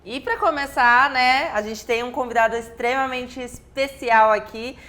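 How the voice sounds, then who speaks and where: A woman's voice: fairly high pitch, normal speed, sharp tone. A woman co-hosting a podcast, friendly as she asks viewers to subscribe and introduces the guest. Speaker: podcast co-host.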